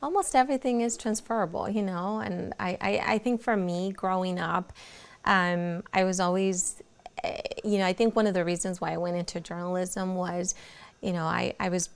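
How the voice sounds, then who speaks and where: A woman in her thirties from Mexico, a native Spanish speaker with a Mexican accent, talking haltingly as a guest in an interview and often murmuring agreement. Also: fairly low pitch, steady tone, clear, resonant tone. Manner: halting, with frequent "mm-hmm" backchannels. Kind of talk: interview conversation.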